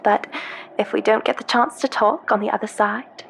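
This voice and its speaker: A woman's voice: mid-range voice, fairly even intonation, moderate pace, gravelly, a little hiss or hum, close to an everyday voice. An actress playing a caring female ship intelligence in a sci-fi audio drama, talking in an intimate, hesitant, conversational way as if leaving a personal message. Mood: upbeat, affectionate. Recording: background music under the voice. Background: music.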